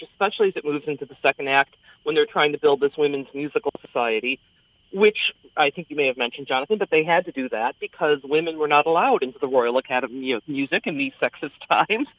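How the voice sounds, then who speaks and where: A woman's voice: deep voice, fast talker, no echo. A woman giving a chatty, enthusiastic, conversational radio review as a theater critic. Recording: thin phone-like sound, dry.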